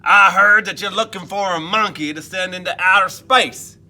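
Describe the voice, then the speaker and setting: Man acting a greedy male huckster, children's storybook, higher bright voice, loud, salesman-like push. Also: normal speed. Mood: pushy, eager. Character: greedy, fast-dealing man in a funny hat.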